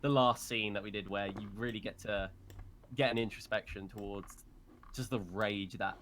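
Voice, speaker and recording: A man's voice: fairly low pitch, fairly even intonation, normal speed, a bit bright. Casual man chatting, faint background noise. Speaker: voice actor on a development team's live stream. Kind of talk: casual live stream chat.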